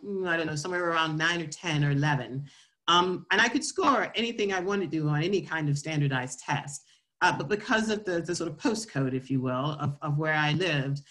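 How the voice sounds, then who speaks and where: A woman with a low voice and an American accent tells her own story in an informal talk. She is reflective, building her sentences in short, halting bursts as she goes. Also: fairly bright tone. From Florida, southern United States. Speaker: scientist and research leader giving a talk.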